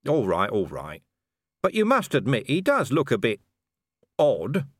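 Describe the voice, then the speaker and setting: A man's voice: mid-range voice, wildly swooping pitch, a little slow, dry recording, studio quality, pitched above the performer's own voice. Man acting a curt, sardonic commander. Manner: curt, sarcastic, exasperated. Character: gruff, sardonic male commander, reluctant titled nobleman.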